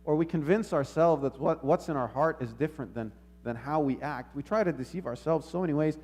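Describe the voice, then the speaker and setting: A man giving a sermon in a measured, reflective manner, posing rhetorical questions and repeating phrases as he teaches. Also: a little high, a little mellow, breathy edge. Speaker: preacher.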